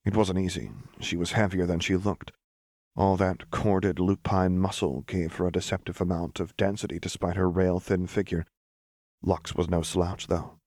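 A man's voice: low-pitched, flat delivery, normal speed, darkish tone, no room sound, studio quality. A man reading a dramatic story aloud as scripted audiobook narration. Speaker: audiobook reader.

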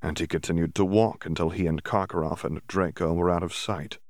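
A man narrates a serialized story in a low voice, steady and plainly told. The recording is dry and close, with no room sound around him. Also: a little fast, dry recording. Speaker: audiobook narrator. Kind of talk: reading a fan fiction audiobook.

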